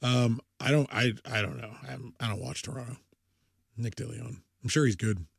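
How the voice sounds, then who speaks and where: A man's voice: mid-range voice, moderate pace, vocal fry, husky, no echo, clean studio sound. A man in his thirties co-hosting a casual sports podcast, rambling and self-interrupting, swearing casually. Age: 30s. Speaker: podcast co-host.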